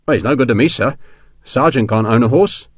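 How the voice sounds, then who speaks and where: A man's voice: mid-range voice, a little fast, dry recording, clean studio sound, close to an everyday voice. A man voicing a deferential but stubborn army sergeant who talks colloquially, in an audiobook. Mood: earnest. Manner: colloquial, punctuated with deferential sirs. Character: rough-spoken army sergeant, deferential, stubborn, slyly innocent. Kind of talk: audiobook character dialogue.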